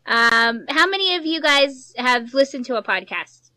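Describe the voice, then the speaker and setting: A woman author moderating an informal panel for an audience, chatty and quick to laugh. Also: high voice, animated, sharp tone, no echo.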